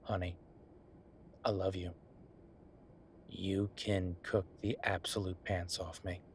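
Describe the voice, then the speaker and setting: A man playing an affectionate, teasing chef boyfriend in an intimate roleplay audio, talking in a conversational, reassuring way with a husky voice. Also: sits low, flat delivery, slow pace, slight background noise. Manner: conversational, reassuring, playfully bantering. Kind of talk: intimate one-sided boyfriend roleplay acting.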